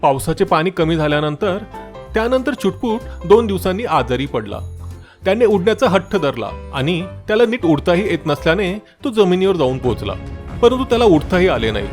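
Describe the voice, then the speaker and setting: Animated high-voiced male storyteller. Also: fairly quick, soft background music. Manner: expressive, dramatic storytelling. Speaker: narrator. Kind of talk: scripted children's story narration.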